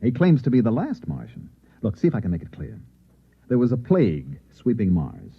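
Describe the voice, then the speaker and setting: A man's voice: medium pitch, wildly swooping pitch, fairly quick, vocal fry, breathy edge, heavily acted. An actor playing an insistent, earnest newspaperman in an old-time radio drama, with urgent, clipped delivery. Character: insistent, earnest newspaperman narrating his strange night. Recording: muffled, narrow-band old radio recording.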